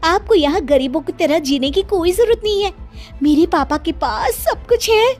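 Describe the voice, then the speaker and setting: A woman's voice: extremely high pitch, animated, moderate pace, darkish tone, faint music behind, voice pushed up. A woman voicing a spoiled, bossy young wife in a cartoon, who talks in a demanding, threatening way. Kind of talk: cartoon voice acting.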